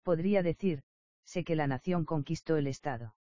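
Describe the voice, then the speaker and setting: Guy reading a dense book out loud, flat steady read, muffled lo-fi dead-dry sound. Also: a little high, moderate pace, no room sound. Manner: even, steady reading that voices page numbers and footnote marks. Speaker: reader of an academic book. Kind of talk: reading nonfiction text aloud. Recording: dull, lo-fi dry recording.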